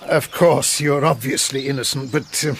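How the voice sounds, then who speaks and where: A man's voice: medium pitch, steady tone, moderate pace, vocal fry, a little hiss or hum, lightly acted. A man playing a shrewd police inspector in a radio drama, falsely genial and slyly insinuating.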